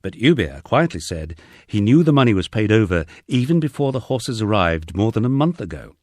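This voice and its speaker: A man narrating a first-person memoir as an audiobook reader, in a measured, expressive storytelling manner. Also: on the low side, no room sound, clean studio sound.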